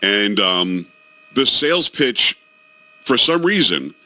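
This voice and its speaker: A man's voice: fairly low pitch, slow pace, dry recording. A middle-aged man hosting a radio talk show, telling jokey stories in casual banter with his co-hosts. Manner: animated storytelling, jokey.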